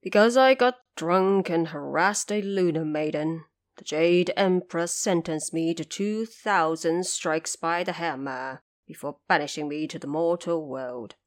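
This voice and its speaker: A woman's voice: fairly low pitch, lightly acted. A woman voicing a male pig monster, a reckless brute who turns humble and confessional, in an audio drama story.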